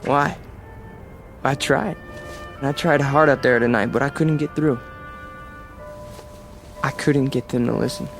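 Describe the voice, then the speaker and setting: A man's voice: medium pitch, steady tone, unhurried, pauses often, faint music behind, lightly acted. Intense, brooding young male radical played by an actor. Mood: frustrated, yearning. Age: young adult. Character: bitter, proud young male street-corner extremist. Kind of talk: radio drama acting.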